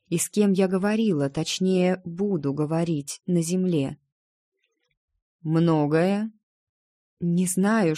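A woman's voice: fairly low pitch, dark tone, dry recording, clean studio sound. A woman narrating first-person fiction from a script, acting out the dialogue as she reads. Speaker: audiobook narrator.

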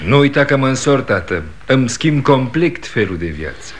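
A man's voice: medium pitch, big pitch swings, a little hiss or hum, close to an everyday voice. An actor playing an eager, boastful younger grown son, reassuring his parents in a radio play.

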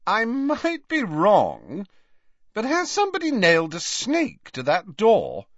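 A man's voice: sits high, huge pitch swings, slow pace, dry recording, lo-fi sound, voice pushed up, heavily acted. A man voicing a genteel, airy young woman with light curiosity in a storybook reading. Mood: curious, amused.

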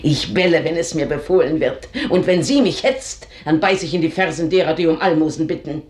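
Older female servant, earthy, scornful, bitter gossip, expressive radio drama delivery, roomy echo. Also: low-pitched, brisk. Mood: bitter cursing. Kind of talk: German radio drama acting. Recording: roomy, echoing radio drama studio sound.

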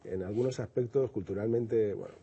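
A singer-songwriter from Madrid with a Madrid Spanish accent, talking reflectively in an interview and often restarting and repeating words. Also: male voice, fairly low pitch, a little fast, mellow, dull audio, a little hiss or hum. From Madrid, Spain. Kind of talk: relaxed interview conversation.

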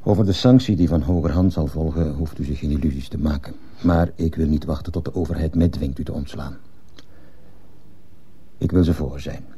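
A stern, dutiful headmaster in a radio play, with a very low, dark voice. He speaks formally and officiously, with a husky edge to his cold reproofs. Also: a little fast. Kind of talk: Dutch-language radio drama acting.

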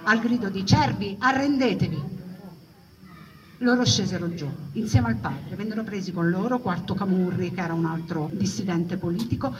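The recording is muffled and set back from the microphone, with clear noise around it. A woman performs a narrative monologue in a theatrical storytelling style. She builds her phrases through dramatic repetition, at a middling pitch. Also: moderate pace. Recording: noisy, distant, dull lo-fi recording.

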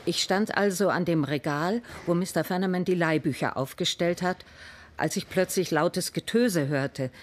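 A woman's voice: on the low side, moderate pace, close to an everyday voice. An actress in a radio crime drama playing an indignant, shaken woman witness, querulous and brusque. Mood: shaken, recounting. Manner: querulous, brusque, easily agitated.

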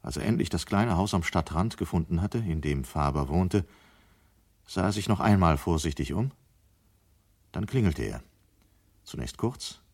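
Low-voiced male radio drama narrator. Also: a little mellow.

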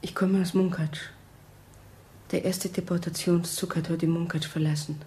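An actress in a German radio play, playing a shaken woman courier who speaks in a halting, intense way. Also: on the low side, little pitch movement, warm tone, natural voice. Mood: numb, bleak. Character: Hansi, shaken woman courier bearing witness.

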